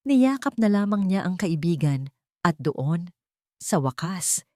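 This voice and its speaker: Woman storyteller, lower pitch, dramatic scripted narration, dry studio-like sound. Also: very animated, takes it slowly, a little mellow, no echo.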